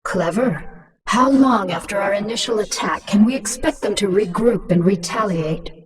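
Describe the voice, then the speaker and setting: A ruthless, scheming queen of an alien swarm, acted by a woman for a video game. She gives commands coldly, at an ordinary female pitch. The voice sits back from the mic, with crowded noise behind it. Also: normal speed, distant mic, crowd noise, natural voice. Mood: commanding, calculating. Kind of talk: dramatic video game voice acting.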